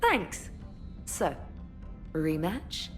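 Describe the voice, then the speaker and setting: A woman's voice: on the low side, huge pitch swings, very slow, soft background music, full character voice. A woman playing a witty, cheeky young starship communications officer in a video game, with a playful, teasing manner.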